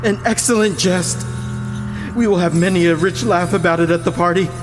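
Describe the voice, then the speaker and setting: A man's voice: sits high, moderate pace, lightly acted. An actor playing a doomed, tipsy, nervous nobleman in a gothic radio drama, theatrical in manner. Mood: desperate forced mirth. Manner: theatrical, pleading, nervously jovial. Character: doomed tipsy nobleman in jester's hat, frightened, jovial. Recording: long echoing vault reverb, music behind.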